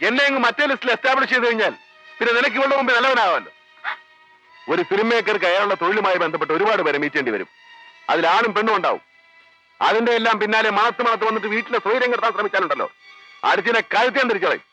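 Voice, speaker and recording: A film director who lashes out with scorn, voice pushed high and fast. He sounds set back from the mic, dry, on an old film track. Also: lively intonation, bright, forceful tone, soft background music, poor audio. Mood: angry. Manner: raised, contemptuous voice. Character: angry, defensive male film director.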